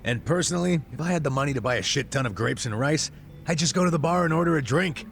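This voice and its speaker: An actor playing a blunt, world-weary older ex-cop with a soft heart, in an American accent and a gravelly voice, in a video game dub. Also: male voice, a little high, quick pace, slight background noise. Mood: amused, teasing. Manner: blunt, casual, salty-tongued. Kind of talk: English video game dub acting, conversational.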